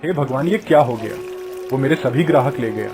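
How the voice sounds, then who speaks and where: A man voices an unlucky, humble village street vendor. His pitch is middling and the delivery is that of a cartoon story, with clear music in the background. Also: fairly quick, close to an everyday voice. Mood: distressed, lamenting. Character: humble, hard-luck male village kachori cart vendor. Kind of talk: Hindi cartoon story voice acting.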